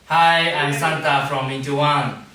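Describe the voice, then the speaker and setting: A man's voice: on the high side, fairly even intonation, a little slow, pushed and bright. A young man from Japan, a dancer, introducing himself in simple, short phrases. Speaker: boy band dancer. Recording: echoey room, mic at a distance.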